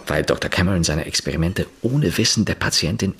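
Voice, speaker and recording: An actor playing a beaten-up journalist in a radio drama, nervous at first and then telling a long story. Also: male voice, deep voice, fairly quick, a bit bright, slightly rough, exaggerated voice. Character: shaken journalist Pit Kern, beaten up.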